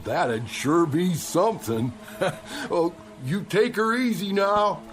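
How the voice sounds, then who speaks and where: A man's voice: high-pitched, takes it slowly, soft background music. An actor playing a cheerful, folksy old homeless man in a radio drama. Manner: folksy, drawling.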